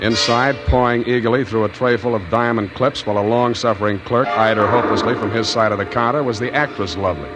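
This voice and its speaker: Low-voiced tough private eye, played by a man, dry and deadpan, 1940s radio show with music behind. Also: lightly acted. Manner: dry, wisecracking delivery. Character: hard-boiled male private eye, dry and cool. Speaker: private detective and narrator. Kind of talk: 1940s radio drama acting. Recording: old radio broadcast with music.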